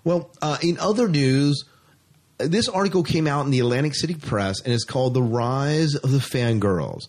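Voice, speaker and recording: A man's voice: mid-range voice, lively intonation, moderate pace, a little mellow. A man hosting a podcast, talking casually and chattily about sci-fi news with an enthusiastic, informal manner. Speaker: podcast host.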